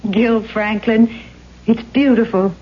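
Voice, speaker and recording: An actress playing an earnest, hesitant young aspiring actress in a 1970s radio drama. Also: female voice, a little high, fairly even intonation, slow pace, slight background noise. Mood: delighted, wistful. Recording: dull 1970s radio recording.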